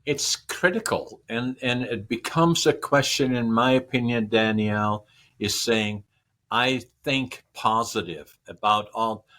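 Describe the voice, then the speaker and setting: A man's voice: medium pitch, a little slow, sharp tone. A man, a podcast guest giving long, rambling answers that repeat words and run through lists. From Northern Netherlands. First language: Dutch. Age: 80s. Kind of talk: casual interview answers.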